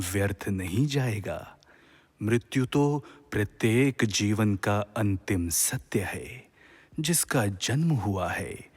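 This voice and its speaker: Man playing a slow, low-voiced serene god. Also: breathy edge, full character voice. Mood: solemn, consoling. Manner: solemn, measured, formal classical diction. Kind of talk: Hindi mythological audio drama.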